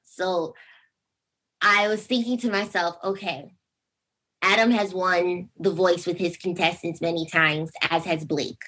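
A young woman with a squeaky speaking voice, a singer chatting over a video call and telling stories with lively acted-out quotes. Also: unhurried, rough recording. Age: young adult. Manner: animated storytelling, acts out quotes with big pitch jumps. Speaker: singer guest. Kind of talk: casual interview chat.

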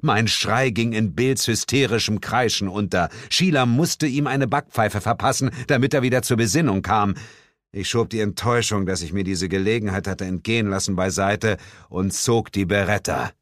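A man narrating an audiobook in first person, measured and theatrical, dropping lower for dramatic moments. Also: dry recording, studio quality. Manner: measured, theatrical, drops low for dramatic lines.

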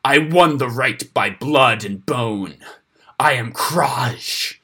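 A huge wolf pack leader from a fantasy storybook , acted out by a man. The voice is harsh and husky, spitting out words slowly with contempt and vengeful venom. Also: mid-range voice, sharp tone, full character voice. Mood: venomous rage. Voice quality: hard, harsh.

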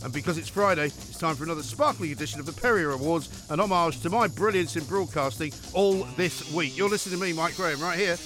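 A man's voice: a little high, fast talker, few pauses, over music. A British man hosting a talk radio show, giving opinions in an emphatic, sardonic, rhetorical way.

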